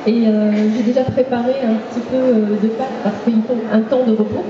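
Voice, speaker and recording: Slightly hoarse young woman demonstrating a recipe. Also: a little high, fairly even intonation, a little fast, crowd noise. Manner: hesitant, with many fillers. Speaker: cooking contestant giving a demonstration. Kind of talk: live cooking demonstration. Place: indoor fair hall with an audience. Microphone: handheld microphone. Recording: distant, echoey, muffled hall recording.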